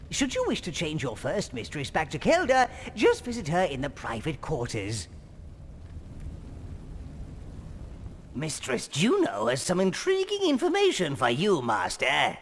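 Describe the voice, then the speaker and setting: An actor voicing a sycophantic old goblin minion adviser in a video game, sardonic and theatrical, relishing every word. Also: male voice, on the high side, slight background noise, heavily acted. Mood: dutiful. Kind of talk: video game character acting. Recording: loud music behind.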